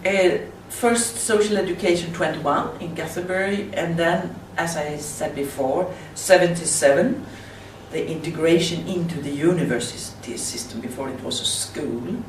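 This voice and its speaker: A Swedish woman with a Swedish accent, speaking halting non-native English in a slide presentation at a conference. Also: low voice. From Sweden. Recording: roomy, distant mic with faint hiss.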